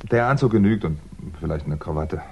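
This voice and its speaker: An actor playing a wry, understated fugitive crime writer in a 1960s German radio play. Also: male voice, low voice, expressive, fairly quick. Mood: weary, muttering. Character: fugitive crime writer, dry, weary, polite man on the run. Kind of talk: 1960s German radio play acting and first-person narration. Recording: dull, noisy 1960s radio recording.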